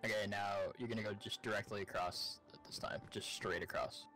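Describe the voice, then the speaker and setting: A man's voice: on the low side, fairly even intonation, a little fast, bright, forceful tone, faint music behind. A man chatting casually during a co-op game, giving his partner step-by-step directions. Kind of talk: casual gameplay chat.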